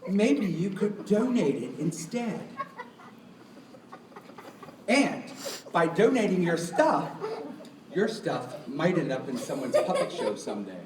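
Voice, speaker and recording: A man's voice: fairly high pitch, very animated, slow, pauses often, background noise. A man, a puppeteer, playfully introducing a live show to children and putting questions to his audience. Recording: echoey room, distant mic, music behind.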